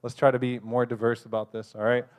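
A man's voice: mid-range voice, little pitch movement, darkish tone. Earnest man giving advice on a panel. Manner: earnest, advising, brisk. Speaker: panel speaker. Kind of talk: live advice talk to an audience. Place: convention hall.

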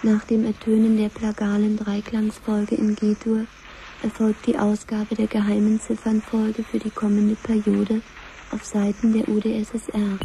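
A woman playing a calm control computer in a radio play, making formal, monotone announcements. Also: medium pitch, a little slow, close to an everyday voice. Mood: impassive, formal. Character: calm female control computer of nuclear command centre. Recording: faintly noisy 1970s radio recording.

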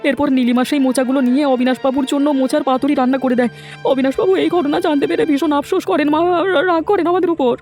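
A man doing a high-pitched, meek village husband. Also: fairly even intonation, very fast, a little mellow, faint music behind. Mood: guilty, hesitant. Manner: hesitant, stammering, deferential. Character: meek, guilt-ridden young village husband seeking work. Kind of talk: Bengali fairy tale cartoon acting.

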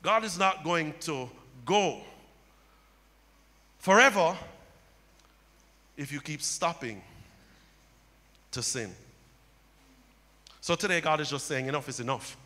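Impassioned preacher man, bright high voice. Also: expressive, slow pace, long pauses. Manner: impassioned preaching, rising to loud cries. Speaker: pastor. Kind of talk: sermon. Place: church stage with audience. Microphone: handheld mic. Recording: long hall reverb.

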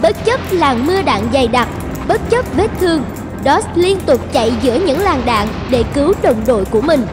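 A woman's voice: high-pitched, lively intonation, normal speed. A woman narrating a story from a script in a dramatic style. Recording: music behind the voice.

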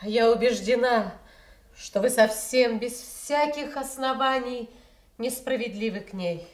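An actress playing a cold, haughty grown princess with measured, formal stage delivery in a radio tragedy. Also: female voice, a little high, natural voice. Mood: cool, firm. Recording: echoey Soviet radio theatre recording.

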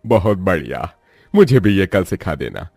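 A man's voice: sits low, big pitch swings, moderate pace, darkish tone, husky, no echo, studio quality, heavily acted. A man playing a proud, hearty village father. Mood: pleased. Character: proud, happy village father of two grown daughters. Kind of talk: animated Hindi moral story acting.

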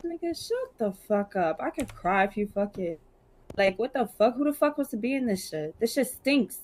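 A woman's voice: a little high, lively intonation, moderate pace, a little mellow. Woman playing a brazen, sweary gangster's wife. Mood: indignant. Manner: blunt, swearing, slangy. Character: brazen, foul-mouthed young gangster's wife, clingy. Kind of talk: improvised role-play, slangy street talk.

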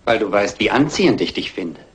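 Prickly, animated scheming man. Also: on the low side, fairly quick. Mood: insinuating. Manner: curt, insinuating. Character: prickly, suspicious, scheming male art dealer. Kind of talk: German crime radio play acting.

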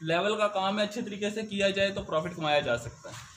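A young man answers interview questions as a farmer. He explains with repeated filler phrases. The recording is echoey and clearly noisy, made in an open shed. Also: high voice, fast, a bit bright. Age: young adult. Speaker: cattle farmer being interviewed. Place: open-sided cattle shed on a farm.

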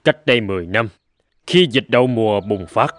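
A man voicing a grave older gentleman physician and mentor in a dubbed cartoon, speaking in a measured, formal, earnest way. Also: on the low side, lively intonation, dry recording, natural voice. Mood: calm, explaining.

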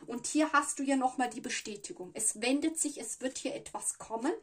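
A fairly high, breathy woman talks through a tarot spread to camera. She chats along loosely, pausing to search for words and tossing in little "ja" fillers. It sounds like a small room at a table. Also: a bit bright. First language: Italian. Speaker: tarot reader. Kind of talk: casual tarot reading to camera.

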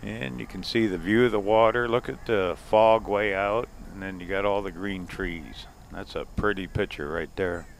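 A man's voice: sits low, moderate pace, gravelly, few pauses, slight background noise, rough recording. A man vlogging a casual driving tour of a small coastal town, rambling and chuckling as he points things out from his vehicle. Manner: relaxed, rambling, chuckles often. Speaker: RV travel vlogger. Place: in a vehicle driving, and outdoors by the water.

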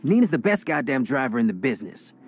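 A man's voice: medium pitch, very animated, quick pace, breathy edge, soft background music. An actor playing a cocky, reckless young man with crime boss ambitions in a video game cutscene. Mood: confident, rallying.

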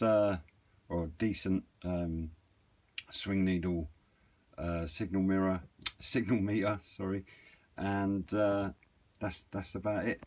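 Low-voiced British man, casual presenter. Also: darkish tone. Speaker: YouTube presenter of radio equipment videos. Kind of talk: casual unscripted product walkthrough.

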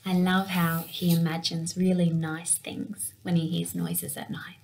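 A young woman reading a picture book aloud for children in an expressive storytelling manner. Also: lowish voice, measured pace, creaky, a little hiss or hum. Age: young adult. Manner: expressive, with acted-out sound words. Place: small indoor room with window blinds.

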